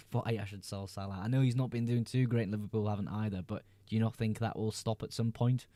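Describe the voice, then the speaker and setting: A man's voice: fairly low pitch, steady tone, a little fast, mellow, dry recording. A young man hosting a casual livestream, reading viewers' chat messages aloud and answering them in a laid-back way. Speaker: livestream host.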